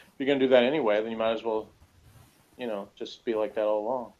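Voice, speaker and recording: A man's voice: on the low side, fairly even intonation, quick pace, darkish tone, highs cut off. A man chatting casually and critically, giving blunt opinions as a reviewer of a TV episode.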